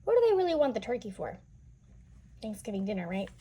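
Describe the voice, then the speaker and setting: A woman's voice: mid-range voice, very animated, fast talker, mellow, breathy edge. A woman reading a picture book aloud to young children, explaining and asking questions as she goes. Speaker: children's read-aloud storyteller.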